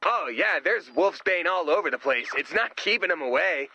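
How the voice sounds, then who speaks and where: A man's voice: high voice, lively intonation, moderate pace, pushed and bright, dry recording, highs cut off, soft background music, full character voice. A man playing a naive, good-natured, goofy sidekick in a video game, earnest and guileless, with a radio effect. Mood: musing. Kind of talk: video game character voice acting.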